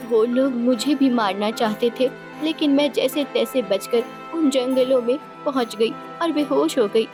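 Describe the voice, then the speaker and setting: A woman's voice: sits high, measured pace, over music, voice pushed up. A woman voicing a fearful young princess who speaks in a formal, pleading way in a cartoon story. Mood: distressed. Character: young injured princess, polite and fearful refugee.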